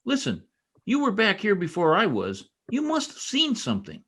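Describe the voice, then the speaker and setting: An actor playing an earnest, insistent young police trooper in an old-time radio drama, speaking with urgent emphasis. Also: male voice, sits high, huge pitch swings, normal speed, a bit bright, breathy edge, full character voice.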